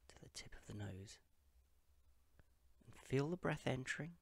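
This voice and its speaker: A man from India guiding a meditation as a yoga teacher, with slow, calm, hushed phrases and a breathy, husky voice that trails off toward a whisper. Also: medium pitch. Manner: slow, calm, hushed instruction in short pauses-separated phrases. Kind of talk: guided meditation.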